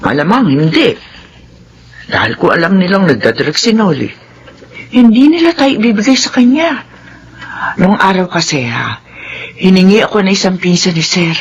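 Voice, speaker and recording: A woman's voice: lowish voice, sing-song, normal speed, pauses often. A muffled radio drama recording with faint noise carries a middle-aged Filipino nanny. She is a devoted, long-suffering widowed mother, and her voice moves expressively through its range. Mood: earnest, resigned.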